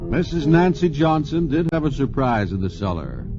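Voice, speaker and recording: A man giving measured, announcer-style scripted narration for an old radio documentary. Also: medium pitch, very animated. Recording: dull old radio broadcast with music.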